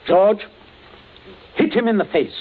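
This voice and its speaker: A man's voice: high-pitched, lively intonation, unhurried. An actor playing a coolly menacing middle-aged father who gives curt, icily polite commands in a vintage radio suspense play. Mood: commanding. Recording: tinny, hissy old radio broadcast.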